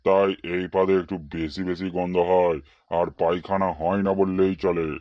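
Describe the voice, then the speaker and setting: Pompous, money-minded cartoon doctor, male actor, lowish voice, bossy delivery, slightly muffled lo-fi recording. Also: flat delivery, moderate pace, lightly acted. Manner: pompous, bossy, mixes in English words. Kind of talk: comedy cartoon dialogue. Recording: roomy, dull lo-fi sound.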